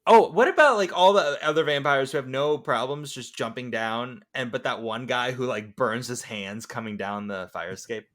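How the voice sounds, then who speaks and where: A man's voice: mid-range voice, moderate pace, few pauses, fairly bright tone. A man in a casual film discussion, joking and riffing on scenes.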